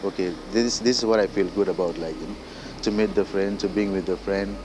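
A Nepali-speaking man with a Nepali accent, a singer-songwriter talking casually as a guest, halting and full of fillers like "you know" and "like". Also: low-pitched, fairly even intonation, background noise. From Darjeeling hills, India. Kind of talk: casual interview. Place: indoors.

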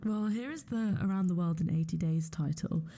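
A woman's voice: lowish voice, a little fast, mellow, a little hiss or hum. A woman hosting a casual radio interview in an encouraging, appreciative manner. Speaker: interviewer, show host.

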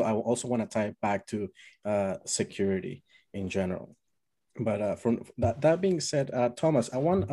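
A man's voice: lowish voice, warm tone. A man hosting an interview, asking long, rambling questions full of fillers. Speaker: host. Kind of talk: conversational interview.

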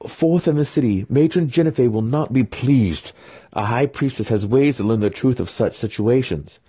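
Fantasy audiobook victim: man performing a young male dark elf, raised pitch, indignant, pleading, proud but helpless. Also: moderate pace, slightly rough, lightly acted. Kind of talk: dramatic fantasy audiobook acting.

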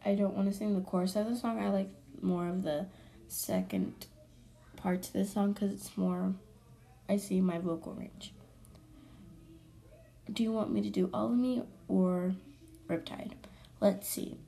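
A young woman hosting her own podcast, chatting casually and rambling with self-corrections, her voice hoarse from allergies. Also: medium pitch, unhurried, long pauses, dark tone. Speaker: podcast host. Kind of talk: casual solo podcast chat.

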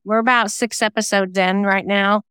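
A woman's voice: mid-range voice, fairly even intonation, normal speed, a bit bright, clean tone, dry recording, studio quality. A woman answering interview questions in a chatty, informal way, explaining at length as a guest. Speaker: guest, singer-songwriter and self-published novelist.

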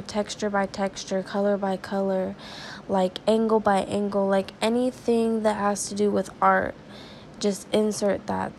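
Woman podcast preacher, stuffy and raspy from crying; gravelly, slow, earnest delivery. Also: medium pitch, a little mellow, slight background noise. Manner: earnest, conversational, slow and prayerful. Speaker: devotional podcast host. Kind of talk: informal devotional talk and prayer.

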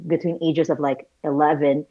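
A woman's voice: low-pitched, little pitch movement, normal speed, warm tone. A woman in her thirties from Malaysia, a guest talking casually and thoughtfully about her path, with self-deprecating asides. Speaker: guest, visual storyteller. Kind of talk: casual conversational interview. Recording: dull lo-fi sound.

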